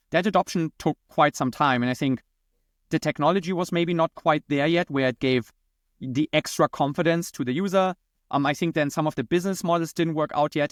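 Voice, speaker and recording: Male founder as podcast guest, German accent, slightly high voice, conversational, dry close recording. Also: dry recording. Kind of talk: conversational interview answers.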